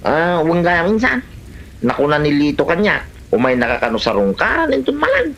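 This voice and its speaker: Casting: actor for nagging, plain-spoken Ilocano relative, highly animated, sharp-edged delivery. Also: moderate pace. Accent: Filipino, Ilocano. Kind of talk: Ilocano comedy drama acting.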